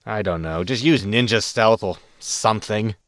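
A man's voice: fairly low pitch, normal speed, a bit bright, no echo, close to an everyday voice. A man playing a wry, exasperated spaceship captain in a sci-fi comedy audio drama, sarcastic and grumbling as he gives orders. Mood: wry, commanding.

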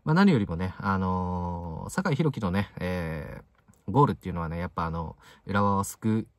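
A man in his twenties giving casual match commentary to camera in short, halting phrases full of fillers, recorded inside a parked car. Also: low-pitched, expressive, no echo, studio quality. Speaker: football fan video host.

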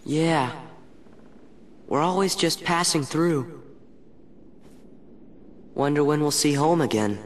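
A man's voice: a little high, takes it slowly, pauses often. Plucky American teenage boy hero. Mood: earnest, reassuring. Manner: youthful, upbeat, determined. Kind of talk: video game cutscene acting. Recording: game music underneath.

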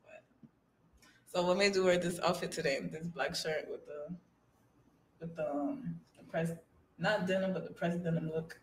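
A young woman chatting casually on a podcast, talking in a flat, monotone way with little energy. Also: lowish voice, normal speed, pauses often, a little hiss or hum, rough recording. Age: young adult. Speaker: blind date guest. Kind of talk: casual chat. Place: small podcast studio, indoors. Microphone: studio microphone held close.

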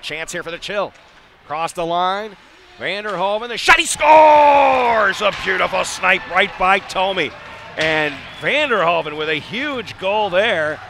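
A man calling live ice hockey play-by-play in clipped phrases, excitable when the action heats up. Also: high voice, unhurried. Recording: live arena broadcast with background noise.

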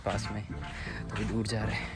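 A young man talks to the phone he holds at arm's length, chatty and easygoing, his voice sitting a little low. He laughs often and drops English words into his talk. Loud music plays under everything. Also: monotone, moderate pace. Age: 20s. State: sweaty, tired from walking. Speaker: vlogger. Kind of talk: casual travel vlog narration. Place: outdoors on riverside sand and in a car. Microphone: phone held at arm's length.

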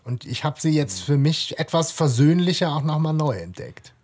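A man's voice: fairly high pitch, lively intonation, normal speed, a little mellow. A man co-hosting a podcast, talking casually and thinking aloud with some hesitation. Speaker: podcast co-host. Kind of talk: casual discussion.

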